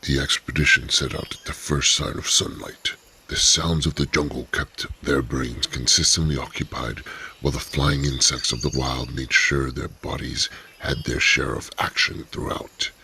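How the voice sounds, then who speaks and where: A man hosting and narrating a radio drama, telling the story in a steady storyteller's voice. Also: a bass voice, normal speed, slight background noise, poor audio. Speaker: narrator and host.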